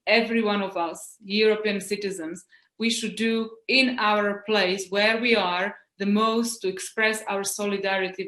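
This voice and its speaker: A woman's voice: mid-range voice, a bit bright, echoey room. Earnest Slovak-accented woman politician. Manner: earnest, appreciative, appealing to the audience. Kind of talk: closing remarks at a panel.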